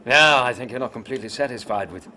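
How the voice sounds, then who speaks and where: An actor playing an easygoing male doctor companion in a radio drama, relaxed and amused. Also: medium pitch, fast, a little hiss or hum.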